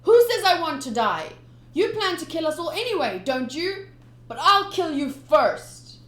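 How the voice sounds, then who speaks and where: Woman doing a scrappy boy cook, high and jumpy, roomy echo. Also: big pitch swings, unhurried, exaggerated voice. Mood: defiant. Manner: hot-headed, shouting threats. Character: defiant young boy ship's cook.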